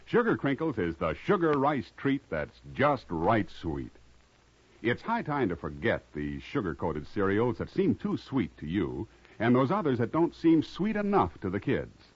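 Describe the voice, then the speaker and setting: Animated American male radio announcer, husky. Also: on the low side. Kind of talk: 1950s radio announcing and ad pitch. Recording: muffled vintage radio broadcast.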